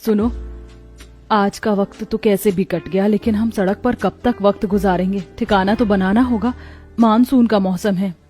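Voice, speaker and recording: A woman's voice: medium pitch, fairly even intonation, normal speed, mellow, soft background music, natural voice. A woman voicing a caring woman of a poor village family in a melodramatic story, earnest and emotional. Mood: concerned.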